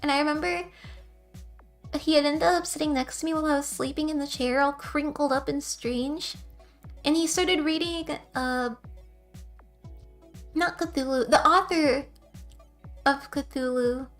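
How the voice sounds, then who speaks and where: High-voiced, giggly young woman streamer. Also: fairly even intonation, unhurried, long pauses, faint music behind. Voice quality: soft, quiet voice. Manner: rambling and giggly. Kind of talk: casual chat with viewers.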